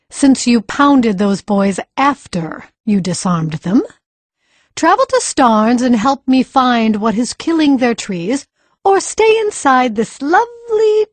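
Stern, wry female guardian played by a woman, slow delivery, swooping intonation, audiobook. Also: a little high, no echo, exaggerated voice. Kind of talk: audiobook character acting.

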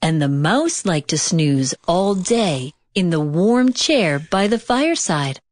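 A woman reads a children's storybook as narrator. Her delivery is unhurried and careful, with lively rises and falls in a dry, close recording. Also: lowish voice, no room sound. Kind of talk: scripted read-along children's narration.

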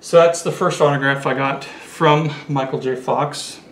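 A man's voice: on the high side, fairly even intonation, a little slow, a bit bright. Chatty man showing off collectibles. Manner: chatty, enthusiastic, holds items up to camera. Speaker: collector vlogger. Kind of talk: casual show-and-tell vlog. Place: small indoor room with curtains. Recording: roomy echo, faint room hiss.

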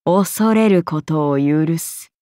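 An actress playing a haughty ancient serpent goddess in a video game, speaking in an imperious, archaic and languid way, amused and menacing. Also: female voice, deep voice, takes it slowly, warm tone, dry recording, studio quality. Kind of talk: video game character voice lines.

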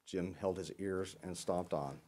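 A man reading a children's picture book aloud as an expressive storyteller. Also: low-pitched, unhurried. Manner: expressive, acts out dialogue. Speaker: storyteller dressed as Santa.

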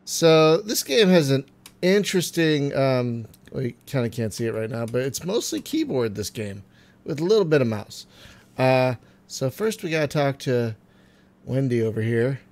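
A man getting over a cold, phlegmy and clearing his throat, chatting casually through a game with many ums and trailing remarks. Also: medium pitch, animated, normal speed. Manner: chatty, frequent ums and uhs, trailing off quietly. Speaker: gaming video host. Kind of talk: casual let's-play commentary.

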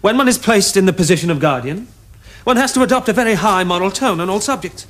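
An actor playing an earnest, stiffly proper young gentleman with an upper-class English accent and a haughty, formal manner. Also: high voice, animated, fast. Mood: lofty, exasperated. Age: young adult. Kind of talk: period stage comedy acting. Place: furnished studio drawing-room set.

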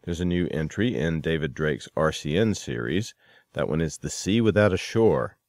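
Very low-voiced man hosting a podcast. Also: animated, normal speed, darkish tone, no room sound, clean studio sound. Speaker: podcast host. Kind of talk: scripted promotional reading and podcast introductions.

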